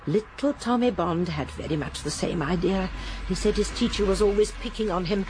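An actress playing a shrewd, composed elderly English spinster detective in a radio drama, with a gentle, breathy voice. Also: female voice, medium pitch, normal speed, background noise, natural voice. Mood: gentle, assured. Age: older.

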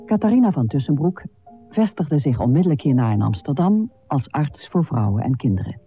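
Very mellow male radio narrator, expressive pitch. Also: soft background music. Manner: measured, formal. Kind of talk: scripted radio play narration.

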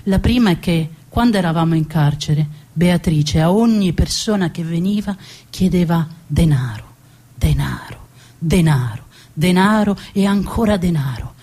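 Woman acting a proud, husky-voiced noblewoman. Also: lowish voice, expressive, mellow. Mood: solemn, proud. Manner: dramatic, deliberate, with emphatic repetitions. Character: proud, defiant executed noblewoman recounting her own beheading. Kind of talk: live theatrical storytelling monologue.

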